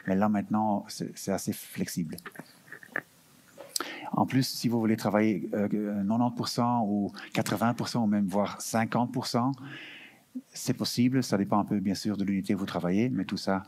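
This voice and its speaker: A man's voice: fairly low pitch, fairly even intonation, normal speed, a little mellow. A man representing an EU recruitment office, answering audience questions at an information session, hesitant with many fillers and restarts, sometimes slipping into English terms. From Belgium.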